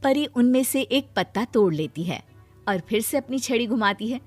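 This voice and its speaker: A woman's voice: a little high, expressive, normal speed. Woman narrating a children's story over music.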